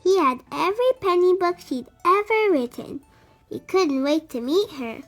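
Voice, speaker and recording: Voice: very high voice, expressive, moderate pace, a bit bright, faint music behind. A storybook reader narrating a picture book expressively for young children.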